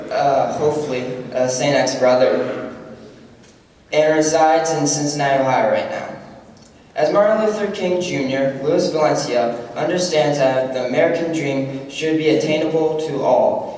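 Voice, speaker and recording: A man's voice: a little high, dark tone, slight background noise. A teenage boy reading a prepared, formal introduction of a guest speaker, earnest and occasionally stumbling. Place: large school hall. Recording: echoey hall from far off.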